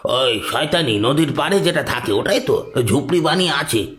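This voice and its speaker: A man's voice: a little high, animated, moderate pace, a bit bright. A man acting an obliging household servant in a horror audio drama, speaking deferentially.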